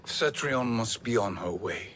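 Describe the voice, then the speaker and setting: A slow, sly sorcerer. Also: male voice, mid-range voice, lively intonation, a bit bright, slight background noise. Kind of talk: video game cutscene acting. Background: orchestral game music.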